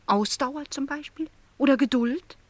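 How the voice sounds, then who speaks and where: Polite young housemaid, slightly high. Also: female voice, measured pace, dry recording. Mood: curious, tentative. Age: young adult. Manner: hesitant, very polite, questioning. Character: shy, curious young housemaid. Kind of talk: radio play dialogue.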